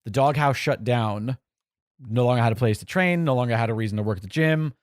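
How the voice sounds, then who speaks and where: A man's voice: medium pitch, a little fast, no echo, studio quality. A man in his forties, possibly, co-hosting a podcast in casual chat, rambling and self-correcting with a joking tone. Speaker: podcast co-host.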